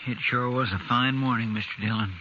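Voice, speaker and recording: An actor playing a loyal, deferential deputy in a 1950s radio western, with an American accent and polite country talk. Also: male voice, mid-range voice, fairly even intonation, fairly quick, natural voice. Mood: regretful. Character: folksy, loyal, deferential male deputy sidekick. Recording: very noisy, muffled 1950s radio recording.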